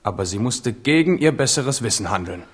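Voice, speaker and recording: An actor in a German radio play playing a proud, steadfast royal heir, speaking in an earnest, formal, declamatory way. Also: male voice, mid-range voice, expressive, brisk, slightly rough. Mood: grave. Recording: reverberant hall.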